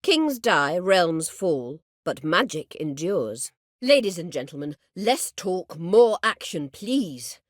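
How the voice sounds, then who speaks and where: An actress playing an imperious, scheming middle-aged sorceress in a video game, speaking formally and with measured, biting sarcasm. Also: female voice, lowish voice, big pitch swings, no echo, clean studio sound, full character voice. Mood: grand, emphatic. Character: imperious, scheming sorceress, proud, sardonic and vengeful. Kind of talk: video game character dialogue.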